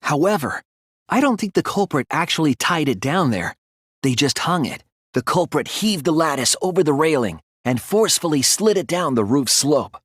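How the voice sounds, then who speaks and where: A man voicing an earnest young male detective in a video game, thoughtful and anguished but resolute, with deliberate, analytical phrasing. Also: on the high side, sing-song, a bit bright, slightly rough, no room sound, studio quality, heavily acted. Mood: analytical, serious. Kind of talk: dramatic video game voice acting, deductive explanation.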